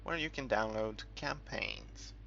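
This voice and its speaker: A man's voice: lowish voice, slow, gravelly, pushed and bright. A man from the UK giving a casual, unscripted game walkthrough, rambling and hesitant as he thinks aloud. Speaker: gamer making a game tutorial. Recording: muffled, dull recording with background music.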